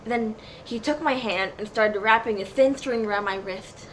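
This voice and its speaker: A woman's voice: a little high, normal speed, fairly bright tone. A woman narrating a comedic dramatic reading of fan fiction in a mock-serious storybook manner. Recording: clearly noisy home recording.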